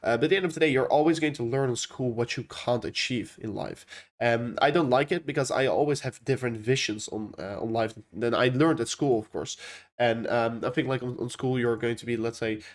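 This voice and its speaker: Young man, Dutch accent, casual livestream host, rambling, lots of fillers, mid-pitched voice. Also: a little fast. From Netherlands. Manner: rambling, many fillers and restarts. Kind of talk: casual livestream chat reading viewer questions.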